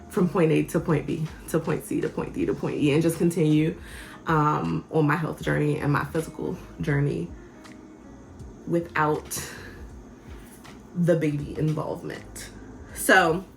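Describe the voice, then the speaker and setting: A woman's voice: low-pitched, fairly even intonation, unhurried, creaky, long pauses, a little hiss or hum, low-quality recording. A woman in her forties, a vlogger and health coach, talking candidly and sounding tired, laughing often and restarting her sentences. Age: 40s. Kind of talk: candid personal vlog.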